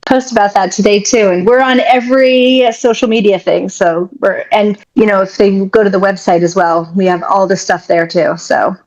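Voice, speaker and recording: A woman co-hosting a casual live chat, quick to agree and often giggling. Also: medium pitch, steady tone, darkish tone, muffled recording. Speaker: co-host and community manager.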